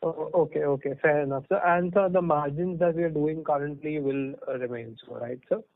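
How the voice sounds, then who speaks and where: A man's voice: on the high side, brisk. A man asking questions as a caller on an earnings call, in a deferential manner. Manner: deferential, often saying sir. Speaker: caller, investor or analyst. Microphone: telephone conference line. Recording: narrow tinny phone line.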